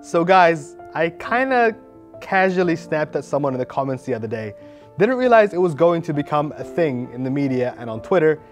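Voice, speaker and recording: A man's voice: fairly high pitch, sing-song, moderate pace. A man playing an aggrieved, pompous internet provocateur in a mock dramatic reading of rants, over music. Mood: defiant self-pity. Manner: melodramatic, pompous. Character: aggrieved, self-pitying male internet provocateur ranting at fans. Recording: music behind the voice.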